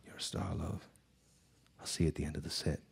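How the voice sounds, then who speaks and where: An actor playing a haunted, world-weary bar singer, brooding and halting, in a live radio drama. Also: male voice, a bass voice, level tone, gravelly. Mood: tender, resigned.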